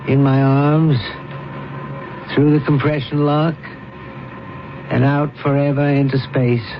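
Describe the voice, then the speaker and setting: A man's voice: mid-range voice, flat delivery, slow pace. An actor playing a proud, honorable older space commodore and grieving father in an old radio drama. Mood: grief-stricken. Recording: muffled, very noisy old radio recording.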